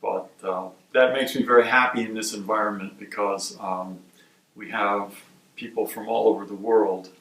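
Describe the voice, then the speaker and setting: A man from Wisconsin who directs a research institute, giving measured, explanatory interview answers. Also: fairly low pitch, fairly even intonation, fairly bright tone. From Wisconsin, USA. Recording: roomy sound from a distant mic.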